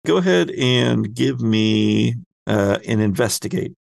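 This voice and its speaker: A man narrates a tabletop role-playing game as its game master. His voice is low and gravelly, and he takes his time, setting out each scene descriptively. The sound is close and dry. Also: expressive, slow, dry recording.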